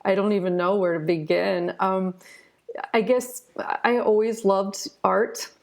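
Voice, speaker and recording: A woman's voice: medium pitch, steady tone, unhurried, gravelly. A woman in her forties with an American accent, telling her own story informally and candidly as an interview guest. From southern Ohio, United States. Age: 40s. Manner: informal, candid, often adds "you know". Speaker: interview guest, retired physician turned author. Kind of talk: conversational personal storytelling.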